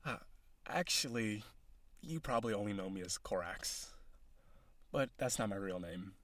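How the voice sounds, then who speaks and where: A man's voice: on the low side, expressive, normal speed, a bit bright, dry recording. A man playing an awkward, businesslike villain on a blind date in an audio roleplay, talking to the listener in a hesitant, dry, earnestly formal way. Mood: awkward, softening.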